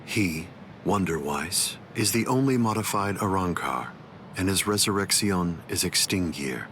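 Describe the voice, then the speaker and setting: An actor playing a calm, menacing villain swordsman in an anime video game, speaking in a measured, formal, condescending way. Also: deep voice, a little hiss or hum. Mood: smug, calm. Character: calm, menacing male villain swordsman, coldly superior schemer. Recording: loud music behind the voice. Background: music.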